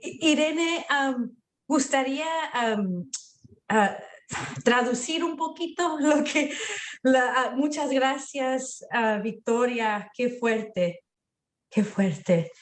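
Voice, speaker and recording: A woman moderating an online panel, halting and full of fillers as she thanks the speaker and moves between Spanish and English. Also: high voice, a little hiss or hum. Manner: halting, many fillers, appreciative. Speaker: event moderator. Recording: roomy echo.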